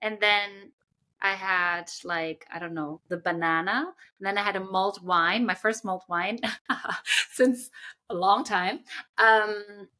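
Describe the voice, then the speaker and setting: A young woman with a bright, forward voice talks through ideas in a relaxed podcast chat. She explains at length, pausing often with ums while she finds her words. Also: medium pitch. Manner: thoughtful, explains at length with many fillers. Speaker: podcast co-host. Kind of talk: casual chat.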